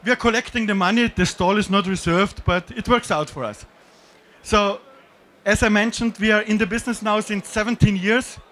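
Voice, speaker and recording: A man's voice: high voice, normal speed, fairly bright tone. A middle-aged man from Austria with an Austrian German accent, giving a joking, self-deprecating talk on a conference stage with a handheld mic. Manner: self-deprecating, jokey, with slightly non-native phrasing. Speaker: conference speaker. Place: dim conference stage with audience.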